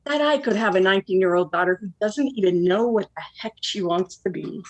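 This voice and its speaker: A woman's voice: mid-range voice, a little fast, natural voice. A woman acting a blunt, lonely forty-nine-year-old who probes with wry questions in a naturalistic play. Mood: prickly. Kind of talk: naturalistic one-act play dialogue.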